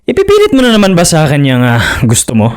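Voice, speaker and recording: A proud, stubborn fisherman and husband with a fairly high voice who shifts from easygoing talk to heated, loud arguing. He is set in his ways and insists his wife stay home. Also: huge pitch swings, fast, clean tone. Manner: easygoing at first, then heated and raising his voice. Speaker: husband in the drama. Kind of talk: radio drama acting.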